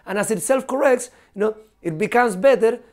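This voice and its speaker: A high-pitched man, chatting as a podcast guest. He talks in an animated, loose way, full of fillers, laughs and the odd swear word. His voice sits well above the usual male range. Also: a little fast. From Chile. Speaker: guest, professor. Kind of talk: casual podcast conversation.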